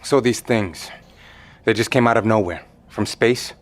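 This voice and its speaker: A man's voice: on the low side, unhurried, close to an everyday voice. A man playing an indignant male survivor in custody who speaks in a skeptical, challenging way, in an audio drama.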